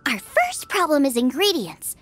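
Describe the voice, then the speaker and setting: Excitable, very high-pitched young girl chef. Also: normal speed, over music. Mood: thoughtful, brisk. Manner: exclamatory, eager. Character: excitable teenage girl chef, cheerful and bossy. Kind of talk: video game voice acting.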